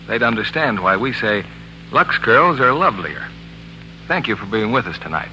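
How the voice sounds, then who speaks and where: A man's voice: mid-range voice, pushed and bright, a little hiss or hum. A man announcing a 1940s radio commercial with emphatic, polished salesmanship. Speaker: radio announcer reading soap advertising. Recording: reverberant narrow-band old radio broadcast.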